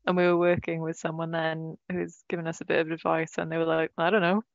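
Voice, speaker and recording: Giggly lower-voiced woman musician. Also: level tone, creaky, no echo. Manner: giggly, quick to laugh. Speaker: band member guest. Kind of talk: casual interview chat. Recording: dull dry lo-fi audio.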